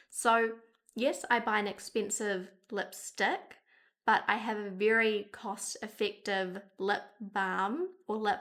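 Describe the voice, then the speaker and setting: A woman's voice: mid-range voice, fairly bright tone. A woman in her thirties with a New Zealand accent, talking frankly to camera in a casual beauty vlog. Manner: chatty and frank, with wry asides. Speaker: beauty vlogger.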